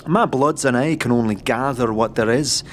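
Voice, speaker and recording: A man's voice: mid-range voice, moderate pace, slight background noise, natural voice. A man voicing a sardonic, wily boss of slum corpse collectors in a fantasy audio drama, bargaining in thieves' cant. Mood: calculating.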